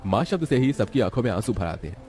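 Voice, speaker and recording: Brisk, dramatic male story narrator. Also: on the low side, big pitch swings, mellow, soft background music. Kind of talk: scripted children's story narration.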